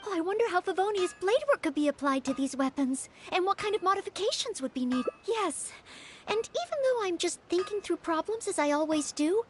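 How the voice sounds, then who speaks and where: A woman's voice: extremely high pitch, animated, brisk, a little hiss or hum. A woman voicing an earnest, polite and easily flustered young maid knight in a video game. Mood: excited, thoughtful.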